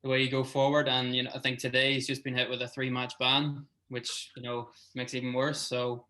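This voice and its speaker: A man co-hosting a sports podcast in relaxed chat, often saying "you know". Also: mid-range voice, a little fast. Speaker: podcast co-host.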